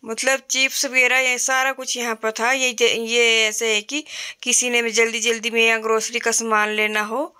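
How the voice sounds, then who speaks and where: A woman's voice: fairly high pitch, level tone, normal speed, sharp tone, clear, resonant tone. A middle-aged woman from Himachal Pradesh, India, chatting plainly with fillers while filming a shop walkthrough on her phone. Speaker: vlogger. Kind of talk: casual shop walkthrough vlog. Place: indoor retail store.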